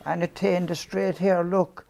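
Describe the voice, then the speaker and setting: A man's voice: high voice, fairly even intonation, measured pace, gravelly, darkish tone. A man in his seventies with a Cork Irish accent, telling rambling, self-deprecating stories from his youth as an interview guest, with many ums and restarts. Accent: Cork Irish, softened by years in London. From Cork, Ireland. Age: 70s. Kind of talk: storytelling reminiscence.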